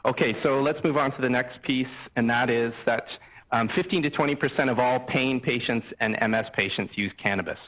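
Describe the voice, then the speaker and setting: A man's voice: mid-range voice, fairly quick, fairly bright tone, rough recording. An older Canadian man, a physician lecturing on a microphone, explaining things casually with everyday examples and asides. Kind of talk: conversational medical lecture. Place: lecture room. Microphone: handheld mic, swapped mid-talk.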